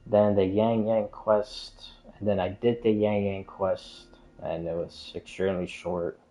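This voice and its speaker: Low, slow-talking man rambling on stream. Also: flat delivery, dark tone. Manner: hesitant, meandering and repetitive. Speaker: game livestreamer. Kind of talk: casual rambling stream chat. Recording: dull, muffled lo-fi stream audio.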